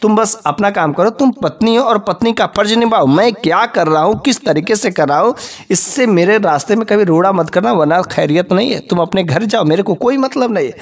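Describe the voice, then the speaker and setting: A man's voice: sits high, animated, a little fast. A man voicing a selfish, arrogant young husband in a story, scolding and defiant. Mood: threatening.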